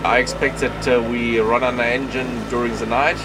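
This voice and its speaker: A middle-aged man, a German native speaker, chatting casually to camera from a sailboat cockpit at sea, with plain wording and frequent pauses. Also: mid-range voice, level tone. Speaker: solo sailor vlogger. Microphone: camera mic at close range. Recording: wind and water noise at sea.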